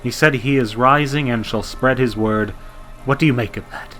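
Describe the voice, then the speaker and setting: A distraught, fanatically devout president acted by a man pleads in a raised, quavering voice. He stammers with fervour, in melodramatic radio-drama style, bright and strained in tone. Faint music sits underneath. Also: medium pitch, normal speed, voice pushed up, natural voice. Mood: frantic resolve.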